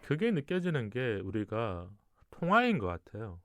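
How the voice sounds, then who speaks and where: A man hosting a casual advice talk show, speaking calmly and thoughtfully, with a regional Korean dialect and pauses in the middle of his sentences. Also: medium pitch. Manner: calm, reflective, pauses mid-sentence. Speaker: podcast host.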